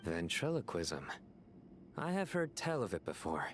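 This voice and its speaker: A man's voice: low voice, big pitch swings, a little slow, over music. A man playing a formal, scholarly guardian in a video game, terse in manner. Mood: grave, formal.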